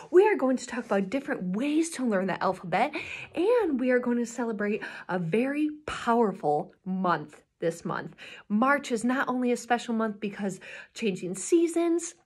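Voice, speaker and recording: A woman in her thirties talking to young children as a story time host, with an animated, encouraging and very expressive manner. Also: on the high side, slightly rough. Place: small indoor room, classroom-like.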